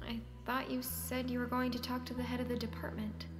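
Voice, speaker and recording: A woman's voice: fairly high pitch, little pitch movement, fairly quick, natural voice. A woman acting a caring, persistent character who coaxes and probes in naturalistic stage-play dialogue, sometimes hesitantly. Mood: hurt, placating. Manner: coaxing, probing, hesitant at times. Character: Sonia, caring, persistent woman nudging a withdrawn man. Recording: roomy sound with music behind.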